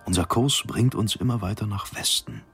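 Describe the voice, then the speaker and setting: An actor playing a vain, unflappable polar expedition captain who reads his journal in a measured, formal way. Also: lowish voice, fairly bright tone, breathy edge, soft background music, heavily acted. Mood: composed, stately. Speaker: actor in a radio play. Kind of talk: dramatised journal narration.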